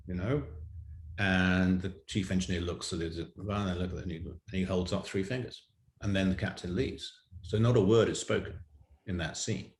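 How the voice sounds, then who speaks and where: Deep-voiced man in an echoey room. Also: steady tone, moderate pace. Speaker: writer of audio drama, interview guest. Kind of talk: anecdotal storytelling in an interview. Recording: roomy, echoey sound.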